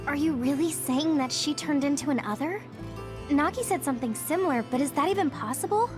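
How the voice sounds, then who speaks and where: A woman's voice: high-pitched, fairly quick, music in the background, lightly acted. A woman voicing an earnest, polite young female recruit in a video game, with a hesitant way of talking. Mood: bewildered. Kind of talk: English video game voice acting.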